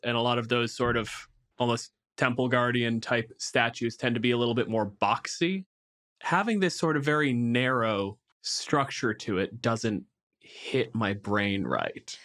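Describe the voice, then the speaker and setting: Mid-pitched man co-hosting a podcast. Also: moderate pace, a bit bright. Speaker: podcast co-host. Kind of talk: casual chat, commentary.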